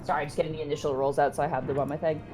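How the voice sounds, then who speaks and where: A woman's voice: low voice, level tone, rapid-fire. A woman talking casually as a player in a tabletop role-playing game, calling out moves and rolls. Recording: music playing behind the voice.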